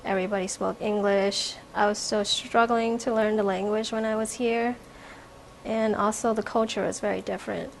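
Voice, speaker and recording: A woman's voice: mid-range voice, flat delivery, moderate pace, gravelly, rough recording. Young woman, reflective, over background noise. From from Vietnam, raised in America from age four. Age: young adult. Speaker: art student and painter. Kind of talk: informal spoken artist profile.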